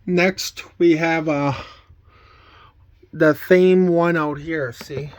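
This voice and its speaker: A man casually showing items from a collection in a plain, halting, item-by-item way. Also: fairly high pitch, steady tone. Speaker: collector showing weather radios. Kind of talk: casual show-and-tell vlog.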